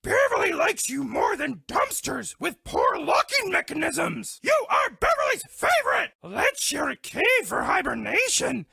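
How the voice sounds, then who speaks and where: Squealing, gravelly girl bear. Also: sits high, wildly swooping pitch, measured pace, hardly any pauses, pushed and bright, dry recording, raised voice, clean studio sound, full character voice. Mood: lovestruck. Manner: broken third-person English, dramatic and gushing. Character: sweet dramatic lovestruck female bear, talking in broken English. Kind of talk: cartoon dating game character lines.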